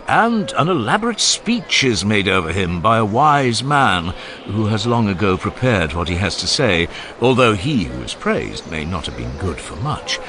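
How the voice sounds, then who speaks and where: A man's voice: deep voice, a bit bright, slight background noise. A man voicing Socrates, an older male philosopher who speaks with teasing irony in a dramatized audiobook. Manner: ironic, teasing, expansive. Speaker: voice actor.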